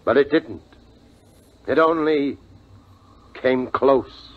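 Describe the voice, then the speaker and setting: A man's voice: mid-range voice, noisy background. Tinny old-radio solemn, protective father. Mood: grave, dramatic. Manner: solemn, measured storyteller. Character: steady, protective father keeping his family's hope alive. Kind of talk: 1950s radio drama acting.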